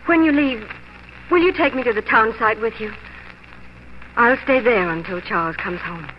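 A woman's voice: a little high, moderate pace, natural voice. A young woman acting a determined, proud pioneer wife in 1940s radio drama style. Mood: pleading. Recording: muffled old radio broadcast, faint noise.

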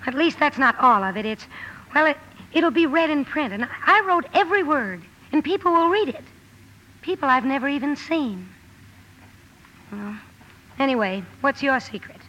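A woman's voice: sits high, animated, moderate pace, pauses often, sharp tone, lo-fi sound. An actress playing a headstrong, brusque tomboy young woman who wants to write, in old-time radio drama style. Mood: proud, earnest. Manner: brusque, emphatic. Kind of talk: 1940s-50s radio drama acting. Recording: noisy vintage radio broadcast recording.

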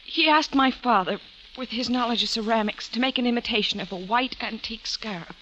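Bright, earnest young woman. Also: fairly high pitch, brisk. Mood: earnest, regretful. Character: earnest, defiant young woman, potter's daughter under suspicion. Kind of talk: old-time radio drama acting. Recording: muffled vintage radio recording, faint noise.

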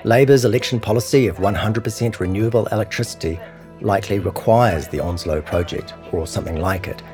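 A man's voice: fairly low pitch, a little fast, a little mellow. A man reading a scripted longform article as narrator. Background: guitar music.

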